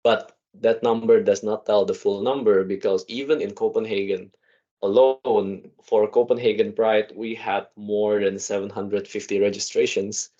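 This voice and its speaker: Low-voiced indonesian guy chatting as a podcast guest, quick bursts, muffled lo-fi audio. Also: steady tone, dark tone. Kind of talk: casual conversational interview. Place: office meeting room. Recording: dull lo-fi sound.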